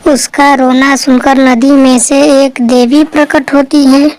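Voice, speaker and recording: A woman narrator reads a scripted story for children. Her voice is notably high and her pace is slow. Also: flat delivery, darkish tone.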